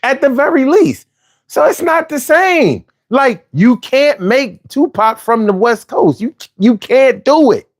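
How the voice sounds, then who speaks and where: A man's voice: very high-pitched, very animated, slow pace. A man in his forties from Philadelphia with a Philly accent, hosting a casual, argumentative monologue full of slang and restarts. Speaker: host.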